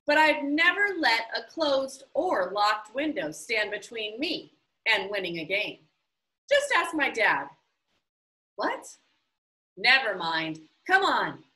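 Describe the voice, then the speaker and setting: A woman reading a children's novel aloud, animated and voicing each character as she goes. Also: roomy sound, low-quality recording. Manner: animated, voices the characters with big pitch jumps. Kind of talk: expressive read-aloud storytelling.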